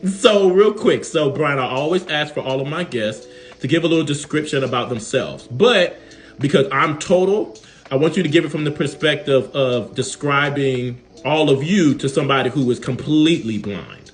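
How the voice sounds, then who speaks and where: A man's voice: fairly high pitch, animated, moderate pace, faint music behind. A man hosting an interview show, friendly and easygoing, with frequent fillers.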